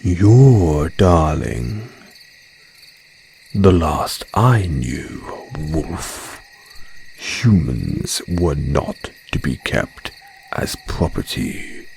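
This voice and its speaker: A man's voice: rumbling low, sing-song, vocal fry, darkish tone, slight background noise, full character voice. A man playing a suave, taunting werebear in an audio roleplay, speaking in mocking, formal phrases. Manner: slow, mocking, old-fashioned formal phrasing. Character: suave, taunting male werebear challenging a wolf.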